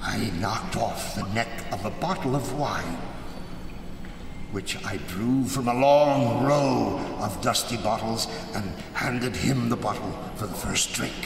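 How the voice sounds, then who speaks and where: An actor playing a scheming nobleman in live gothic radio theater, falsely courteous and sly, with theatrical, deliberate phrasing. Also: lowish voice, gravelly. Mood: brooding narration. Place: large hall before a live audience. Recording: echoey hall, live stage, noisy background.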